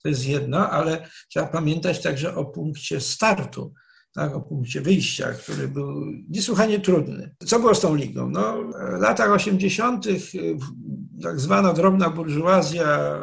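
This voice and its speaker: An older man, a professor, explaining history in a measured, digressive way with frequent restarts, speaking over a video call from a wood-panelled study. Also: on the high side, gravelly. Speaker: professor, interview guest. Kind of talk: explanatory academic talk. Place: study with dark wooden shelves, indoors.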